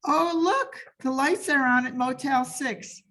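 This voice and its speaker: Woman as a slow, folksy bearer of bad news. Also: high-pitched, a bit bright. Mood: blithely apologetic. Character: folksy, blithely apologetic servant breaking bad news. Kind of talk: old-time radio comedy acting.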